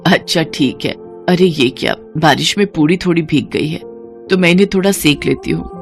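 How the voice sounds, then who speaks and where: A caring, weary mother from a poor household, voiced by a woman. She sounds homely and gentle, a middle-aged figure in a cartoon moral tale with music underneath. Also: deep voice, normal speed, vocal fry.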